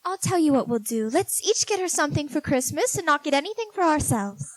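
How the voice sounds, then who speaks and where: A woman playing a gentle, sweet young peacemaking sister in a 1940s-style radio drama. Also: high-pitched, big pitch swings, brisk, no room sound, low-quality recording.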